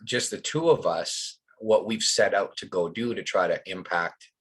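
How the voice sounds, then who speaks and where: A man in his forties with a very low, husky voice, talking as a podcast guest. He is reflective and loose, often restarting his sentences as he thinks aloud. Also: normal speed. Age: 40s. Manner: reflective, rambling with many restarts. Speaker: coach, guest. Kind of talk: casual podcast conversation.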